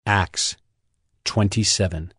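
A man narrating a dramatized audio Bible as scripted storytelling, measured and solemn. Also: deep voice, steady tone, a bit bright, slightly rough, no room sound. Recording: narration over prominent music score.

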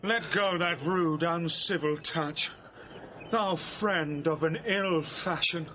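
Casting: betrayed gentleman, classical stage diction, very slow and forceful indignation, dull noisy recording. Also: on the high side. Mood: wounded, indignant. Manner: declamatory, measured, indignant. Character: betrayed young gentleman, wounded and righteous. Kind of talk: classical Shakespearean audio drama acting.

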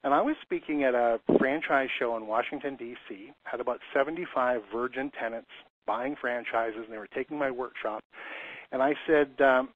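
A man's voice: medium pitch, normal speed. A man in his fifties giving an earnest, conversational webinar talk as a lease consultant, often restarting his sentences. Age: 50s. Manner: earnest, storytelling, frequent restarts and repeated words. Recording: tinny phone-line audio.

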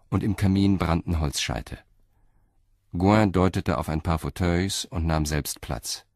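A man narrating a crime radio play in a measured, articulate, literary style. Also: sits low, a little mellow, no echo, studio quality.

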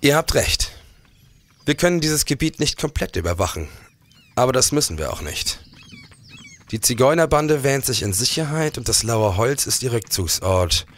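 A man acting an assured police detective, medium-pitched. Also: sing-song, a bit bright. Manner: assured, deliberate. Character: resolute, shrewd police detective in 1870s Germany. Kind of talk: German period crime audio drama.

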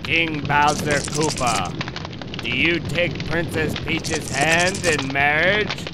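A man playing a solemn old wedding priest in an animated fan dub, speaking formally and ceremoniously. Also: slow pace. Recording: noisy, rumbling background.

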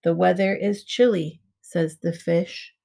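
A middle-aged woman reading a picture book aloud to young children in simple, measured storybook phrasing. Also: lowish voice, steady tone, creaky, dark tone. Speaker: preschool teacher reading aloud. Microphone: webcam at arm's length.

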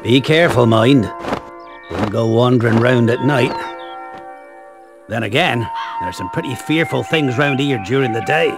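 A man playing a big, friendly, rough-hewn British gamekeeper in a video game, hearty and homely. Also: medium pitch, measured pace, pauses often, over music, lightly acted. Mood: cautioning. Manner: hearty, homely, warning fondly. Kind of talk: video game cutscene acting.

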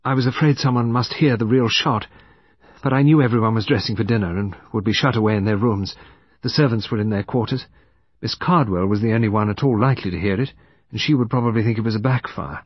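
A man's voice: fairly low pitch, fast talker, no echo, highs cut off, pitched above the performer's own voice. A man voicing a prim middle-aged woman who confesses to murder, precise and emphatic, in an audiobook. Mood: earnest confessing. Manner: clear, prim, emphatic diction.

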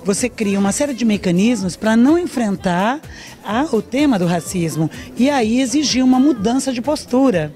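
An older woman sociologist answering interview questions in a measured, lecturing way, into a handheld reporter mic in a large meeting hall. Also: a little high, animated, dark tone, soft background music. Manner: measured, lecturing, frequent "né?" tags.

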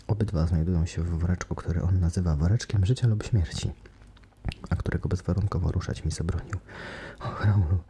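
A man voicing a frightened young woman held captive, speaking breathlessly and pleadingly in a raised, higher voice. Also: fairly even intonation, a little fast, slight background noise. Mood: despairing. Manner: breathless, desperate, high and loud. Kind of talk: audiobook character voice.

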